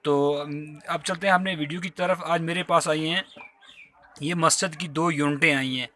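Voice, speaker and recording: Man, Pakistani accent, somewhat high pitch, bright sharp tone, informal tutorial host, polite, repetitive step-by-step explanations, handheld phone recording. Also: steady tone, normal speed, slight background noise. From Azad Kashmir, Pakistan. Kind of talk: informal hands-on tutorial narration. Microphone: handheld phone while working.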